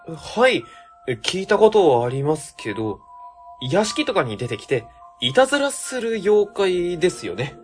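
A man playing an earnest, polite young rookie civil servant in a comedic radio drama, quick to react. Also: sits high, very animated, soft background music. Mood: skeptical. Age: young adult.

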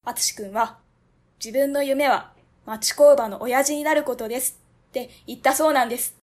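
A woman's voice: sits high, normal speed, darkish tone. A woman playing a polite, earnest young ward office clerk who explains things carefully.

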